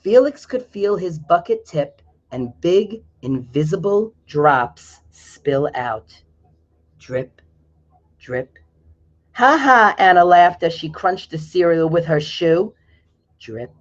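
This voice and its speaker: A man's voice: a little high, lively intonation, takes it slowly, darkish tone. A man reading a picture book aloud to children, stopping now and then to explain things to his young listeners. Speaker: storyteller. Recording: dull lo-fi recording.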